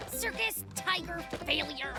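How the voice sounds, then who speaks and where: High, hyper little cartoon fox boy. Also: expressive, unhurried, vocal fry, exaggerated voice. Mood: boastful. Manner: showy, bossy, brash. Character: boastful, hyperactive young male fox circus trainer. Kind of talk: animated children's cartoon acting. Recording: loud music under the voice.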